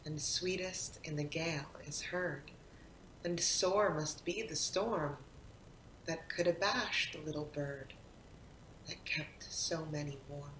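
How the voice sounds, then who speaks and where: Woman, lowish voice, expressive poetry reading, dramatic pauses, roomy echo. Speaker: poetry reader, actor. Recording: roomy echo, faint rumbling background noise.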